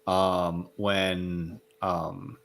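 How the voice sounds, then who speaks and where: A man's voice: low-pitched, level tone, extremely slow, gravelly, a bit bright. A man co-hosting a casual book discussion, talking in an easygoing, informal way. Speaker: co-host.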